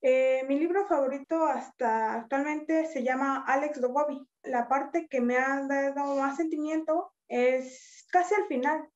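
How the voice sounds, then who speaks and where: Earnest high-voiced young woman, lo-fi. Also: a little slow, hardly any pauses. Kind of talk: interview answers. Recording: dull lo-fi sound.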